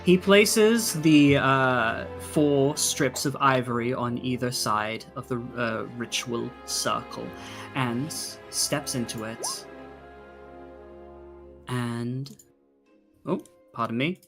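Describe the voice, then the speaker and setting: Guy running a tabletop game, slow talker with a bit of fry, faint music behind him. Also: mid-range voice, a bit bright. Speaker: game master. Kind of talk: tabletop game narration and casual chat. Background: faint background music.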